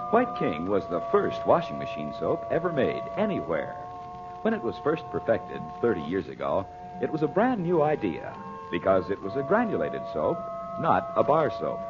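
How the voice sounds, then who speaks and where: A man announces and narrates in scripted 1940s radio style. His pitch moves in a lively, animated way, over prominent music on a muffled old transcription.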